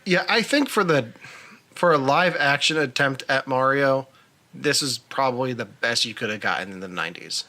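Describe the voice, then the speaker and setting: Male podcast co-host, mid-range pitch, bright voice, casual conversational film talk. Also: expressive, moderate pace.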